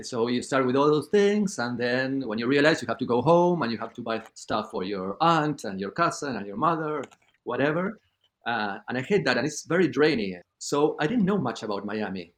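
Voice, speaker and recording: A man from Argentina, a guest DJ talking in an animated, filler-heavy way in a casual interview. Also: mid-range voice. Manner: animated storyteller, many fillers like "like".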